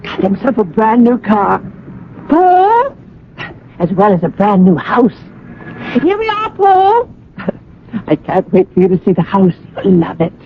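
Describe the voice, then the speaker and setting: Effusive, coaxing middle-aged mother, lively swooping intonation, radio drama style, dulled vintage sound. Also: mid-range voice, a little slow, long pauses. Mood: excited, bustling. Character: bustling, doting middle-aged mother, brightly chatty. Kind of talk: 1980 radio drama acting. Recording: dull, noisy vintage radio recording.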